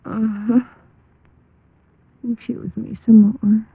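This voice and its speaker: An actress playing a detective's smitten, flustered sweetheart, hesitant and coy in a 1950s radio drama. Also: female voice, fairly high pitch, level tone, takes it slowly, vocal fry, very noisy. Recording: thin, muffled old radio recording.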